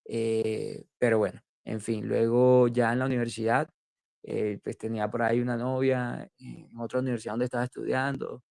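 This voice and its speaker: Man, gravelly voice, dry close sound, hesitant testimony, lots of fillers. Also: medium pitch, level tone, normal speed, darkish tone, no room sound. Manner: hesitant, many fillers and restarts. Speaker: interview guest sharing testimony. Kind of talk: candid personal testimony.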